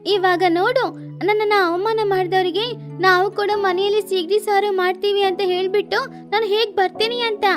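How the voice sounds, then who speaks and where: Woman voicing a high childlike little sparrow girl. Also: steady tone, normal speed. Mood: excited. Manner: pleading, emotive childlike address to mother. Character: little sparrow daughter, childlike, loving, easily frightened. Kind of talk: children's animated bedtime story dialogue. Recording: music behind the voice.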